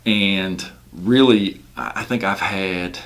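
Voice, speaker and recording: A man's voice: sits low, slow, fairly bright tone. A man working as a real estate broker, explaining his work in a casual, emphatic, conversational way. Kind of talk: casual explanatory talk about own work.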